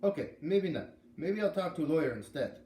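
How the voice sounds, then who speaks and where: A man's voice: fairly high pitch, big pitch swings, fairly quick, warm tone. An irate German dog trainer from a storybook, acted out by a man, blustering and emphatic in broken English. The voice swings up and down and sounds in an echoey room. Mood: indignant, scheming. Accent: put-on German. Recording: echoey room, faint background noise.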